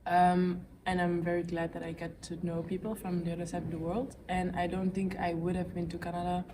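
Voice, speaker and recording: A young woman from Belgium, twenty, speaks in a low, even, flat tone at a measured pace. She is distant from the mic, and noise runs underneath. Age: 20s. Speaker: young actor interviewed. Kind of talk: casual interview answers.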